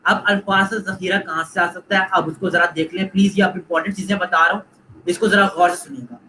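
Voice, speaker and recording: A man's voice: high-pitched, steady tone, fast, sharp tone, slight background noise, poor audio. A man with a Pakistani Urdu accent giving a live online lecture, coaching students and repeating points while mixing in English phrases. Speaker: online course instructor.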